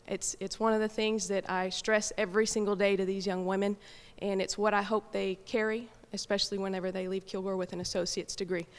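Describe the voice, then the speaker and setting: A woman's voice: medium pitch, a bit bright. Woman coach speaking in a reverberant hall. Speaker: college basketball coach. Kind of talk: prepared remarks at a media day. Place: large indoor hall, speaking to an audience. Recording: echoey hall sound with long reverb.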